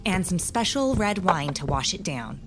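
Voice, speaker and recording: A woman playing a wise, hospitable and grave keeper of magical lore, telling things deliberately with old-fashioned phrasing in an audio drama. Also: fairly low pitch, big pitch swings, over loud music. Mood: welcoming.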